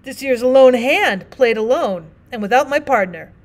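A woman voicing a rough, earnest middle-aged frontier miner with a rustic Old West drawl, rambling in folksy dialect. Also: high-pitched, lively intonation, normal speed. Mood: earnest pleading. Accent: put-on rustic Old West drawl.

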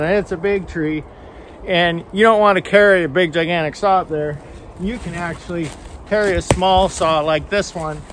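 An older man with a fairly high voice, chatting outdoors about a tool in a friendly, rambling way. He often stumbles and repeats words. Faint outdoor noise sits behind his voice on the camera mic. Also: a bit bright. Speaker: vlogger reviewing a tool. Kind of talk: casual product demo. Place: outdoors on a farm field.

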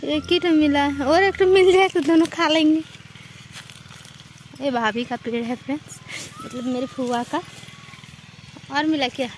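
A woman's voice: sits high, steady tone, a little slow, pauses often, slight background noise. A young woman vlogging on a phone outdoors in village fields at dusk, chatting to her viewers as friends. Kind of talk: casual walking vlog. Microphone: phone camera held in hand.

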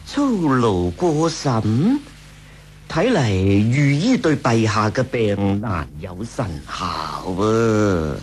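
A man's voice: lowish voice, sing-song, slight background noise, exaggerated voice. A male actor with a Hong Kong Cantonese accent playing an obsequious, scheming court eunuch in a radio drama. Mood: fawning. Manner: obsequious, drawn-out and swooping. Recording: radio drama with faint music.

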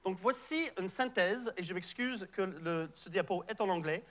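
A man's voice: high-pitched, moderate pace, fairly bright tone. A middle-aged man from Canada, a native English speaker with an English-Canadian accent, giving a popular science lecture in a large auditorium, explaining patiently with frequent fillers and restarts. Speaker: researcher giving a public lecture.

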